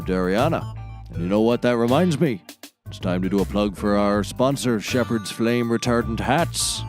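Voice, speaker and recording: A man playing a deadpan, self-important auctioneer who hosts a small comedy talk show. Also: fairly low pitch, natural voice. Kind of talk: scripted comedy acting.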